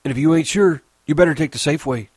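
A man's voice: fairly high pitch, fast talker, darkish tone, breathy edge, no room sound, pitched above the performer's own voice. A man voicing an anxious, earnest old ranch hand. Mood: soothing. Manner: earnest, pleading.

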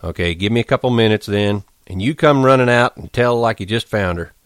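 A man's voice: lowish voice, brisk, dry recording, natural voice. A man voicing a resigned, stern ranch worker with plain, rustic American speech, in an audiobook. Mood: sharp, determined.

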